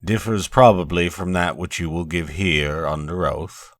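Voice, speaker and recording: Man playing a stern, deep-voiced coroner. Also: steady tone, unhurried, dry recording, clean studio sound. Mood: dryly skeptical. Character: stern male coroner, official and businesslike.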